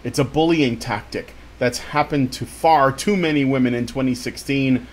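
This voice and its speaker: A man's voice: medium pitch, moderate pace. A man reading an article aloud in a dramatic storytime style, with theatrical, exaggerated emphasis.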